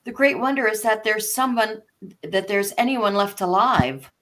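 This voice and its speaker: A woman's voice: medium pitch, expressive, unhurried, fairly bright tone. A woman playing a polite, curious and forthright young girl in a storybook read, speaking earnestly. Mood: indignant.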